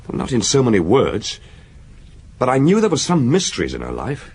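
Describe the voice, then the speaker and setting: A young, lovelorn detective speaks with deferential, hesitant earnestness in a radio drama. His husky voice swings very widely in pitch as he defends himself. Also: wildly swooping pitch, moderate pace, a little mellow, full character voice. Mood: lovelorn, earnest.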